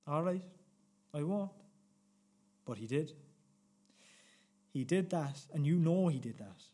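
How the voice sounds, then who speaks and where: Actor as righteous hothead, male, higher-pitched voice, confrontational, insistent, radio drama. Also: lively intonation, slow, mellow. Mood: bitter pressing. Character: belligerent, righteous, threatening man, protective of his home. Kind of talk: radio play acting.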